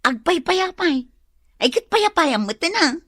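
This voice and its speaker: A woman's voice: very high voice, sing-song, normal speed, fairly bright tone, no room sound, studio quality, heavily acted. A woman acting a teasing, gossipy co-worker in a radio drama. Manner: teasing, giggly.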